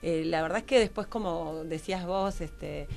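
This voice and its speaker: A woman's voice: on the low side, normal speed, vocal fry, a little mellow. A woman with an Argentine Rioplatense accent speaking reflectively as a radio interview guest, explaining in a conversational way and often seeking agreement with tag questions. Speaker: guest, psychology professional who trains others.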